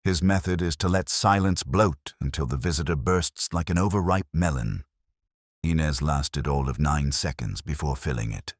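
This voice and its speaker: A man's voice: rumbling low, moderate pace, no room sound, clean studio sound. A man narrating a noir detective story in a wry, hard-boiled way. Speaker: audiobook narrator. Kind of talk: scripted first-person noir detective narration.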